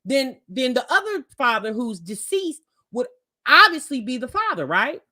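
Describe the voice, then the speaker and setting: Animated high-voiced woman giving opinions. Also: moderate pace. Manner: emphatic, colloquial, checks with rhetorical questions. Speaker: reaction video host. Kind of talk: casual opinionated commentary.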